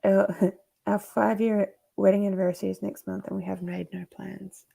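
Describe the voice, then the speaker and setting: A woman's voice: medium pitch, flat delivery, normal speed, vocal fry, dark tone. A middle-aged woman hosting a casual livestream chat, chatty and laughing often as she reads comments aloud. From Australia. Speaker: host.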